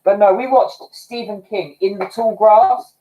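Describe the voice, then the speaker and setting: A man's voice: high voice, steady tone, measured pace, dark tone, poor audio. A man hosting a casual livestream from home, reading viewer comments, chatty and self-mocking and often laughing. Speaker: livestream host. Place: home, indoors.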